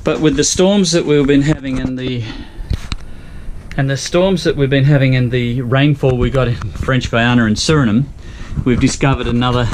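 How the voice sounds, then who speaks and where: A man's voice: mid-range voice, moderate pace, noisy background. An older Australian man giving a chatty, folksy vlog tour of his sailboat cabin, recorded on an action camera. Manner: chatty, folksy, thinking aloud. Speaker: sailing vlogger. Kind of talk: casual vlog narration.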